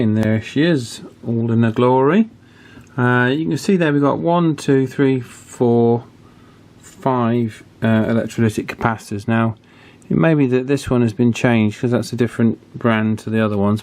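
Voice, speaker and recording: A man's voice: mid-range voice, darkish tone. A man talking informally and thinking aloud as he gives a casual, hands-on technical commentary. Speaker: electronics hobbyist presenting a repair video.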